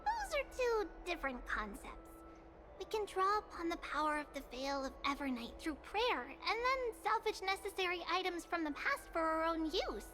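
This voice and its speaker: Tiny, chatty winged goddess; very high and lively. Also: female voice, normal speed, slight background noise, full character voice. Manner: bubbly, sing-song and lively. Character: tiny winged girl-like demigoddess, cheerful and chatty. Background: game music.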